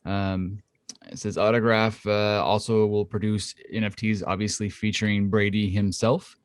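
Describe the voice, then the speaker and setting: A man's voice: level tone, normal speed, dry recording, clean studio sound. A man co-hosting a casual podcast, talking at length with lots of fillers into a home condenser mic. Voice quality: thinks his voice is high-pitched. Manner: rambling, filler-heavy, explains at length. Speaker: podcast co-host. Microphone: studio condenser mic at home.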